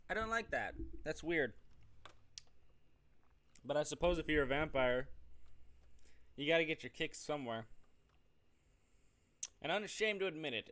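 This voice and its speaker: A man's voice: a little high, a bit bright. Man casually commentating on a video game. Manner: rambling, jokey asides between readings. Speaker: host of a gaming show. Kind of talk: casual let's-play commentary, reading game text aloud.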